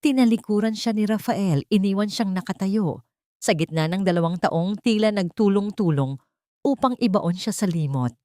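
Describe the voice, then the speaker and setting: A woman's voice: medium pitch, expressive, moderate pace, mellow, no echo, studio quality. A woman narrator reading a scripted dramatic story in a solemn, sentimental way.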